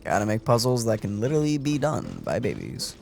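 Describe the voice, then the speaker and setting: Very animated let's play commentator. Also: medium pitch, a little fast, gravelly. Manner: playful, joking. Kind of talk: casual gaming commentary.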